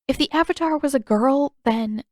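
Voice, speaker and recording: A woman's voice: high voice, normal speed, no echo, studio quality, voice pushed up, lightly acted. Woman as an earnest, stubborn teenage girl. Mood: hesitant, probing.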